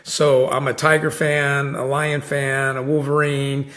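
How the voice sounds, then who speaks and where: A man's voice: medium pitch, level tone, measured pace, fairly bright tone. A man hosting a podcast interview, chatting casually and rambling with lots of fillers and restarts. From United States. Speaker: podcast host.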